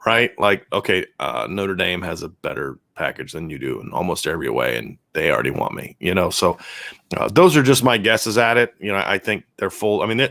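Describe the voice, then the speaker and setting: A man with a sore, hoarse throat co-hosting a live football podcast, chatting through viewer questions and often restarting his sentences as he thinks aloud. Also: sharp tone, no room sound. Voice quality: deep voice. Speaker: podcast co-host and football analyst. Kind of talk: casual live-stream chat answering viewer questions.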